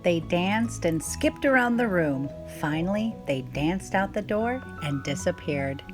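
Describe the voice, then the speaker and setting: A woman reading a storybook aloud for children as a scripted narrator. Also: on the low side. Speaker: storybook reader.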